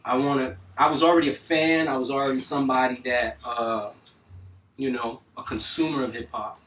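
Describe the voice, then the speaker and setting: A middle-aged man from North Miami telling stories in a casual studio interview, animated and full of street slang and cursing. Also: mid-range voice, a little fast. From North Miami, Florida. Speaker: music engineer guest. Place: recording studio. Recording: distant mic, faint noise.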